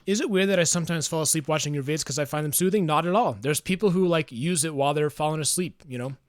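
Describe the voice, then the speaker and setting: A man chatting casually on a livestream, reading chat aloud in a smooth, soothing voice, musing and hesitant with fillers. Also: a little high, quick pace, no echo, clean studio sound.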